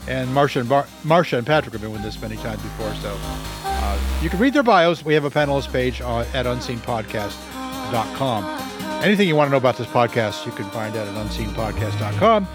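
A man from the United States hosting a panel podcast, introducing guests in a relaxed, chatty way. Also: medium pitch, a little fast. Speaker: podcast host. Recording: music clearly behind voice.

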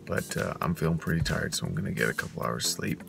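A man's voice: extremely low pitch, animated, quick pace, creaky, over music. A man in his thirties vlogging from his car on a long night drive, talking casually to a phone at arm's length, tired and full of uhs. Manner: tired, chatty, with many uhs. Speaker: vlogging dad on a road trip. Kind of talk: casual vlog to camera. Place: inside a car at night and on the road. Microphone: phone camera at arm's length.

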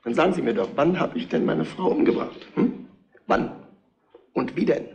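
An actor playing a defiant but cowardly betrayed husband under suspicion, talking defensively in a retro crime radio play. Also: male voice, fairly high pitch, animated, moderate pace, gravelly, darkish tone, highs cut off. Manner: defensive, challenging.

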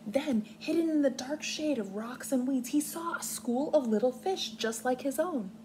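A woman's voice: high voice, moderate pace, warm tone. Young American woman teaching, noisy recording. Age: young adult. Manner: instructive, simple wording with clear explanations. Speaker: kindergarten teacher. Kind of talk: read-aloud lesson for young children. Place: living room on a couch, indoors. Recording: clearly noisy home recording.

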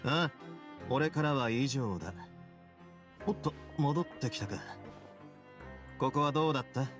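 A man playing a shrewd, self-assured prison-fortress duke in a game, speaking casually and with measured assurance. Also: medium pitch, over music, natural voice. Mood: cool, casual. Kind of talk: game dialogue acting.

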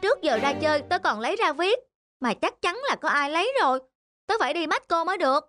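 Young schoolboy role female actor: sincere, childlike cartoon voice, extremely high, light music underneath. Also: animated, fast talker, a bit bright, no room sound, clean studio sound. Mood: upset. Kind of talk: animated children's story dialogue. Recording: faint music behind the voice.

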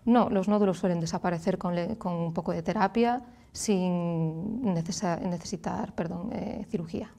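A woman in her thirties with a Galician Spanish accent speaks in a fairly low voice. She explains things clearly and patiently, as a guest on a studio interview show. She is recorded on a lapel mic in a TV studio. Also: normal speed, hardly any pauses, dark tone. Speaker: speech therapist and singer as guest.

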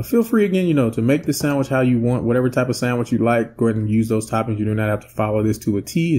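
A man in his thirties hosting a home cooking channel, talking through a recipe in a relaxed, rambling way with lots of fillers. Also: medium pitch, slight background noise. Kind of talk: casual cooking voice-over and taste test. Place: indoor home set with plain backdrop.

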